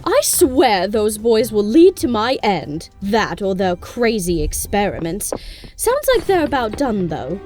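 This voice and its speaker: A woman's voice: medium pitch, a little slow, faint music behind. A woman voicing a long-suffering, motherly pony landlady, fond but chiding, in an audio drama. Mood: exasperated. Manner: expressive, chiding. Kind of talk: scripted audio drama acting.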